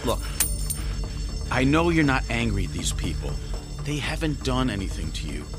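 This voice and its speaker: A man acting an earnest negotiator in a radio drama, speaking carefully and placatingly with pauses. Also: mid-range voice, sing-song, over music, lightly acted. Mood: tense, soothing.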